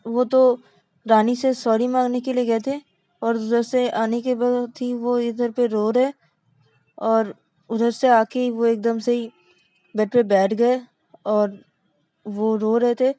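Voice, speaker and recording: Woman doing a young guy, worried and pushy, voice up high, dry and warm. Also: flat delivery, slow pace, long pauses, dry recording. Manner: pressing, repeated worried questions.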